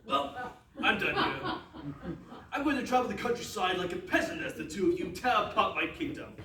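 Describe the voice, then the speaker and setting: A man's voice: sits high, sing-song, moderate pace. A man playing an insecure, petulant middle-aged fairy-tale king in comic readers theatre, melodramatic and wheedling. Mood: sulky, grand. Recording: roomy, distant stage recording, faint noise.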